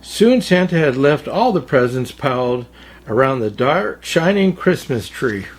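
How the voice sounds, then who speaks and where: A man reading a children's storybook aloud as a storyteller, in a theatrical, deliberate way. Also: mid-range voice, big pitch swings. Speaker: storyteller, Santa story-time host.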